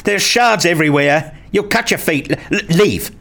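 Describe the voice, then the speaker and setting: A man acting as a wounded, self-justifying father in a radio play, speaking in an introspective, deliberate way. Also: a little high, animated, creaky, a bit bright, full character voice. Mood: tense, angry. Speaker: narrator and lead actor in a radio play. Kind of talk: radio play narration and dialogue.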